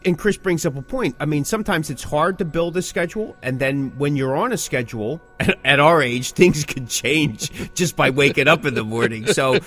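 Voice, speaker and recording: A man's voice: a little high, normal speed, hardly any pauses, fairly bright tone. A middle-aged man co-hosting a podcast in casual chat, emphatic and prone to repeating his phrases. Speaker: podcast co-host.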